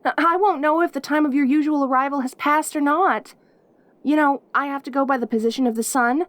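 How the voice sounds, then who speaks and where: Woman acting as a high pitched cute demon girl, lonely n clingy, stammery chatty audio rp, super dry close sound. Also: steady tone, a little fast, a bit bright, dry recording. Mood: anxious, rambling. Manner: rambling, stammering, eager, giggly. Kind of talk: audio roleplay acting, addressing the listener intimately.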